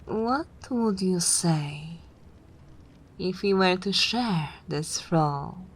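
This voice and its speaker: A woman playing a haughty, teasing vampire mistress in an ASMR roleplay, speaking formally and imperiously in a soft, breathy way. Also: on the low side, drawn-out words, exaggerated voice. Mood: scheming. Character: haughty, teasing female vampire mistress, possessive but willing to share.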